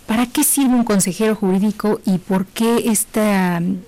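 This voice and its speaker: A woman's voice: medium pitch, moderate pace, dark tone, rough recording. A woman hosting a radio interview, friendly, asking questions and giving short acknowledgements. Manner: friendly, frequent brief acknowledgements. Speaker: radio host.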